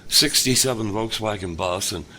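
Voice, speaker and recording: An actor playing a kind, lonely older father who lost his son, speaking gently with halting pauses. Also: fairly low pitch, slight background noise. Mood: wistful. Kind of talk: audio drama acting.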